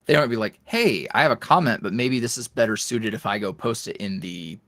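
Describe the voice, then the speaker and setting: Male guest, animated pitch, chatty and rambling, dry close sound. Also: fairly quick, no room sound. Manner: rambling, lots of fillers and restarts. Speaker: guest, ASMR roleplay creator. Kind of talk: casual interview chat.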